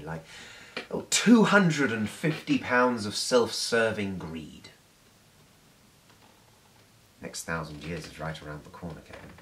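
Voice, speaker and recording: A man performing a theatrical film monologue as a sardonic, menacing devil full of contempt, dramatic and shifting between hushed and forceful delivery. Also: low-pitched, voice pushed down, poor audio. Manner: dramatic, swinging from whispers to raging outbursts. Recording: noticeable background hiss.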